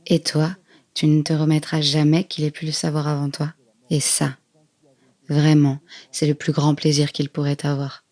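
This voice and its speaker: Actress as knowing inner narrator, very low female voice, second-person radio fiction. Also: monotone, normal speed, warm tone, natural voice. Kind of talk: scripted audio drama narration.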